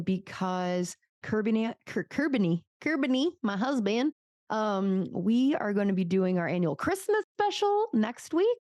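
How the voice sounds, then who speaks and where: A young woman hosts a podcast in an easy, chatty way, her voice at a middle pitch. She strings her thoughts together with lots of filler words and restarts, and giggles between them. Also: mellow. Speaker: podcast host, chiropractor. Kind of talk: casual podcast chat.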